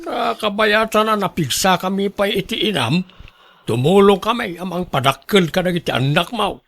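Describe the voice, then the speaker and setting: A man's voice: high voice, lively intonation, moderate pace, vocal fry, a bit bright, heavily acted. Grumbling, supportive middle-aged father. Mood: resigned, supportive. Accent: northern Philippine. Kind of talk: radio drama acting.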